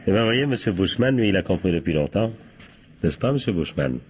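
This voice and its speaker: A man playing a shrewd, ironic police captain who deliberately corners suspects in a French radio crime drama. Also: low voice, very animated, darkish tone, slightly rough, full character voice. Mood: triumphant. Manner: deliberate, ironic, teasing rhetorical questions.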